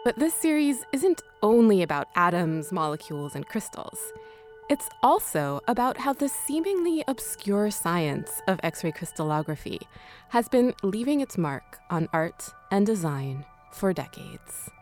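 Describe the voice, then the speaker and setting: A woman hosts a scripted radio documentary at an ordinary mid pitch. She narrates as a presenter, with faint music running behind her voice. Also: big pitch swings, a little slow. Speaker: host.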